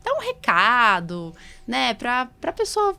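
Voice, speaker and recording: A woman's voice: on the high side, huge pitch swings, slow pace, gravelly, sharp tone, smooth. A woman with a Brazilian Portuguese accent talking casually and informally as a podcast host, in a chatty conversational way. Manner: chatty, informal, recounts exchanges in acted-out dialogue. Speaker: podcast host, allergist doctor.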